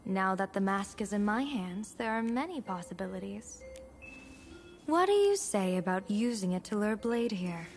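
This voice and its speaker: An actress playing an amused, enigmatic general and diviner in a video game, speaking in a composed, formal, theatrical way. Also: female voice, mid-range voice, expressive, normal speed, darkish tone, natural voice. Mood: playfully scheming. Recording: game music behind the voice.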